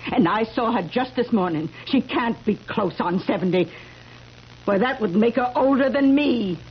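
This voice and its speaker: A woman's voice: fairly high pitch, lively intonation, normal speed. A woman playing an observant, self-assured older witness in a 1970s radio drama, emphatic and repeating her words. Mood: insistent. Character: certain, observant older female witness, easily astonished. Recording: dull, noisy vintage radio recording.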